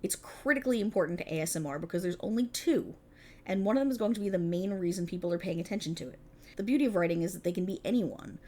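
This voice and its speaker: A woman's voice: medium pitch, darkish tone. A woman playing a prickly, exasperated police officer in a scripted ASMR roleplay, talking in a wry, bossy, explanatory way to an unheard partner. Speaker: voice actor in audio roleplay. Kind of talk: scripted ASMR roleplay, one-sided dialogue.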